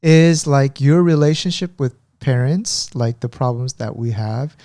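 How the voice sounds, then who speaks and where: A man co-hosting a casual podcast, chatty and self-deprecating as he tells everyday stories. His voice sits mellow and relaxed, and the sound is close and dry with no room around it. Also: medium pitch, measured pace, dry recording. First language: Korean is his second language. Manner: chatty, self-deprecating, laughs at himself, acts out Korean phone calls. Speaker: podcast co-host.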